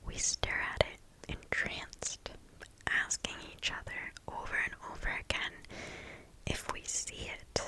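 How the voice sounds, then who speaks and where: ASMR storyteller, female. Hushed whisper throughout, unhurried and deliberate, right up on the mic. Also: rough recording. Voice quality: breathy unvoiced whisper. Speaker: ASMR host reading listener stories. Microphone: close microphone.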